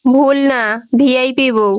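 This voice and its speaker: A woman performs a stubborn young village wife from a comic cartoon, with a Bangladeshi accent. Her voice sits high and is recorded very dry. She nags and demands with a sulky insistence. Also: steady tone, slow pace, clear, resonant tone, no echo. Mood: sulky, bossy. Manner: nagging, insistent.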